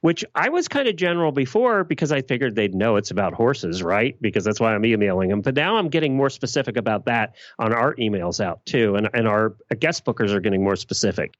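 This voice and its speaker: A man's voice: medium pitch, very animated, a little fast, hardly any pauses, no room sound, lo-fi sound. A man giving blunt, emphatic answers in a conversational podcast interview. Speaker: podcast guest.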